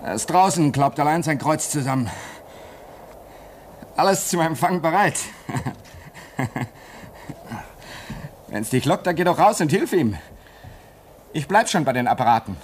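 Radio play: a gruff wounded crewman, animated pitch. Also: a little slow, long pauses, a little hiss or hum. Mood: surly. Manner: gruff, surly.

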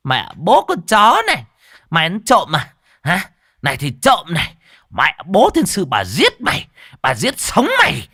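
A man's voice: wildly swooping pitch, brisk, a bit bright, husky, dry recording, clean studio sound, heavily acted. A man voicing a fat, foul-mouthed, furious woman who runs a rice eatery, scolding and cursing in barked questions. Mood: enraged. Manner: shrill cursing, scolding, barking rhetorical questions.

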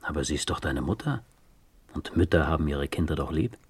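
Gentle detective, low-pitched, expressive melody, measured polite questions, crime radio drama. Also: male voice, husky, no echo, heavily acted. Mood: gentle, probing. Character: patient, gentle male police detective. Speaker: actor in a crime radio play. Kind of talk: German crime radio play acting.

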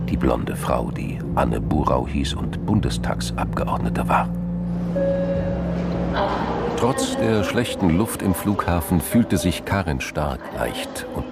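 A man with a gravelly voice reading scripted narration for a crime radio drama. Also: extremely low pitch.

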